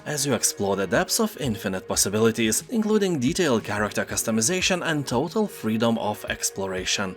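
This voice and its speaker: Wry man narrating game deals. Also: lowish voice. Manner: wry, joking asides between descriptions. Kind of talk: scripted narration with jokes. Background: faint music.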